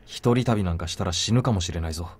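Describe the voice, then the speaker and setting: A man's voice: sits low, very fast, noisy background, close to an everyday voice. A man voicing a blunt, protective young man in a visual novel, terse and matter-of-fact. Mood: firm, serious. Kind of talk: Japanese visual novel voice acting.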